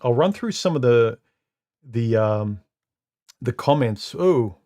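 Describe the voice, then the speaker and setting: Deep-voiced man hosting a live stream. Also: lively intonation, mellow. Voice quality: deep, somewhat muffled voice. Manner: halting, repeats words, many uh and um fillers. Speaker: live stream host reading comments. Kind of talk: casual live stream talk. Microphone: studio microphone.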